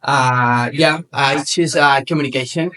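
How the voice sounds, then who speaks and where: A man introducing himself at a workshop, speaking halting, thoughtful English. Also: medium pitch, bright, forceful tone, distant mic. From Spain. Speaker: workshop participant.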